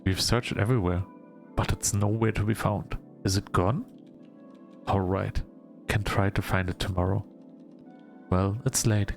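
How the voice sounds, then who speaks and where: Gravelly-voiced man narrating a visual novel. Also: lowish voice, steady tone, measured pace, long pauses, faint music behind. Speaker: gamer reading a visual novel aloud for a video. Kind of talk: scripted narration with casual asides.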